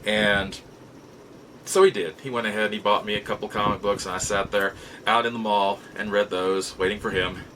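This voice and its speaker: Middle aged guy rambling thru a personal story, folksy, bright forceful voice, some noise behind him. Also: fairly low pitch, fairly even intonation, normal speed, rough recording. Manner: folksy, rambling, repeats and rephrases himself. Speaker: host of a nostalgia show. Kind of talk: casual personal storytelling to camera.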